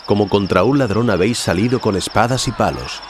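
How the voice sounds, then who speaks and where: Actor as grave, deep-voiced calm holy man. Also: fairly quick, noisy background, lightly acted. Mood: solemn, calm. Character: Jesus, calm, solemn man facing arrest and trial. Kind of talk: dramatized scripture reading.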